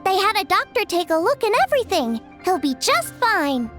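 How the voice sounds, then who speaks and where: A woman's voice: very high-pitched, sing-song, moderate pace, fairly bright tone, full character voice. A woman voicing a cheerful, chatty, teasing teenage girl in a video game, with a bubbly, casual delivery. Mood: happy, chatty. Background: music.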